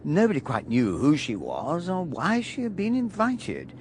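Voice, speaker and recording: An actor playing a sober English gentleman guest who recounts a murder mystery in a period radio drama, measured and restrained. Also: a little high, huge pitch swings, slight background noise. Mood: reflective.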